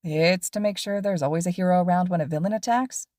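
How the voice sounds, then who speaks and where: A woman's voice: on the low side, fast talker, mellow, no room sound, clean studio sound, close to an everyday voice. Woman voicing an unsure earnest teenage boy.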